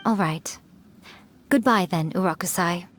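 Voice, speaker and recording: A woman's voice: on the low side, measured pace, slight background noise, close to an everyday voice. An actress voicing a centuries-old female fox spirit in a video game, sly, teasing and elegant, with a smug, coy poise. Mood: playful, assured. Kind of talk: video game character acting.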